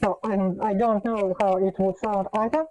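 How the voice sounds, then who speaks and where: A woman talking casually and unscripted as a video host, explaining things in a halting way with frequent filled pauses. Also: mid-range voice, steady tone, mellow, slight background noise, low-quality recording.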